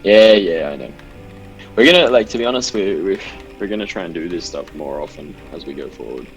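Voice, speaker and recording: A man's voice: sits low, expressive, a little fast, dull audio. A man hosting a live game stream over a voice call, reading chat questions in a chatty, rambling way. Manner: chatty, rambling, laughs easily. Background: game music.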